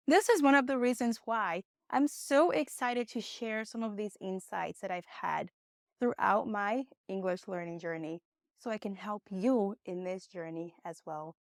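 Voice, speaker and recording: A young woman, a Portuguese speaker, giving a conversational online English lesson with encouraging, expressive emphasis. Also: mid-range voice, unhurried, no room sound, studio quality. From Brazil. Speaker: English teacher.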